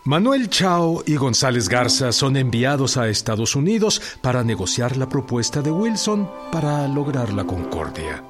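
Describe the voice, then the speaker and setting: A man's voice: mid-range voice, very animated, normal speed, hardly any pauses, music in the background, natural voice. An actor playing a grave man who reports the fates of revolutionary generals in a declamatory radio drama style. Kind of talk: scripted radio drama roll call.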